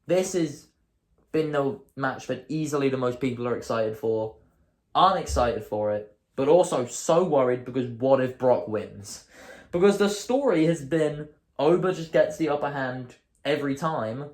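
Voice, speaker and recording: Man, hoarse voice, casual solo host, thinks aloud, middle pitch, echoey room. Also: measured pace, darkish tone. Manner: thinking aloud, chatty and self-correcting.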